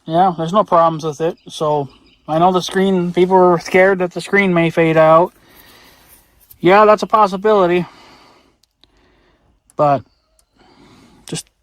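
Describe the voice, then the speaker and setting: A man with a somewhat high voice talks through an unscripted review. He is casual and plain-spoken, with offhand cursing, and sounds like he is recording inside a vehicle. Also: no echo. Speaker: vlogger reviewing radio gear.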